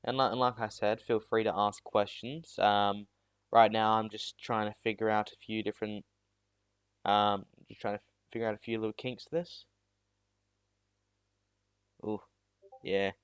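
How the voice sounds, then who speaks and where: A man's voice: lowish voice, little pitch movement, unhurried, pauses often, smooth, no room sound. A teenage boy from South Australia, chatting casually with viewers on a live stream, rambling and making fun of himself. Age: teens. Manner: rambling and self-deprecating, with many restarts. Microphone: new USB mic.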